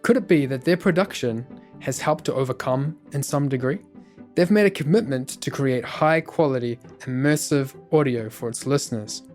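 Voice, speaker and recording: Mid-pitched man narrating over music. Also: moderate pace, darkish tone. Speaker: documentary narrator. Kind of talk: scripted narration.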